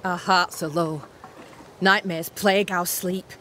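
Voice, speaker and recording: Curt frontier camp leader. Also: female voice, lowish voice, fairly even intonation, measured pace, a bit bright, slight background noise. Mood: stern, matter-of-fact. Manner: curt, commanding. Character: tough, blunt woman leading a frontier hunting camp. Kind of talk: video game cutscene acting.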